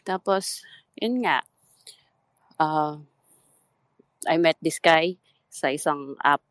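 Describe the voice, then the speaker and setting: A Filipino woman in her thirties with a thick Filipino accent, telling her story with hesitant, halting speech and mixing English with Tagalog. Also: low voice, fairly bright tone, dry recording. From Philippines. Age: 30s. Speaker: guest seeking advice. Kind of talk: casual chat.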